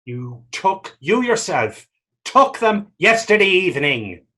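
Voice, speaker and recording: A man's voice: sits high, sing-song, slow, sharp tone, full character voice. An actor playing a defiant, superstitious young man, goading and indignant in folksy dialect in a stage reading. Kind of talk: stage readers theater acting.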